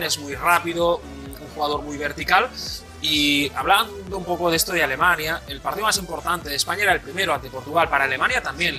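A man giving fluent, enthusiastic studio football analysis. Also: fairly high pitch. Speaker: football pundit. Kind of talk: studio commentary. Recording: music over the voice.

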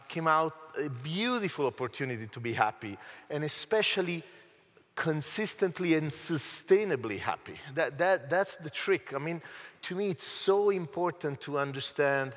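A middle-aged man from Italy talks on a conference panel through a headset mic, gesturing as he goes. His voice sits a bit high and swings widely in pitch. The sound carries a long reverb from the large hall. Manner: animated, gestures as he talks, frequent fillers. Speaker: panel speaker, professor.

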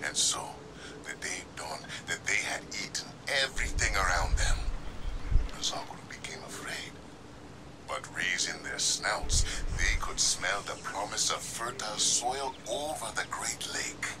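An actor playing a solemn storyteller who recounts an ancient legend in a hushed voice for a video game audio log. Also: male voice, lowish voice, measured pace, whispered, close to an everyday voice, rough recording. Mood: solemn, wistful.

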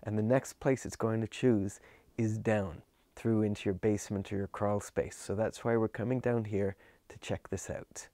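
A man's voice: on the low side, steady tone, normal speed, mellow, no room sound. A middle-aged man giving an instructional walkthrough in a small unfinished basement, explaining with gestures while a camera records him from a few steps away. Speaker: home energy auditor. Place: small unfinished basement with concrete block walls.

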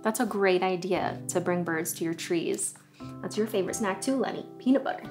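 A woman's voice: fairly low pitch, a little fast, music in the background. A young adult woman presenting a children's story time, reading aloud and chatting in a friendly way. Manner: friendly, chatty asides to her dog. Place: living room sofa, indoors.

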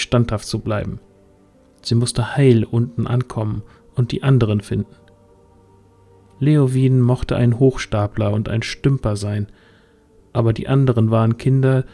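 Male narrator, mellow, medium pitch, scripted fantasy storytelling, faint music underneath. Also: fairly even intonation, normal speed.

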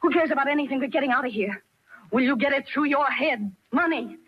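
A woman's voice: sits high, brisk, close to an everyday voice. An actress playing a haughty, impatient, desperate trapped woman, in old-time radio horror style. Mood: scornful, urgent. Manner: insistent, imperious, sharp-tongued. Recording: dull, lo-fi old radio recording.

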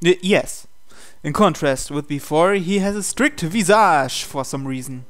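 A man reading visual novel dialogue aloud in character, with a hesitant, halting delivery. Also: a little high, expressive. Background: game music.

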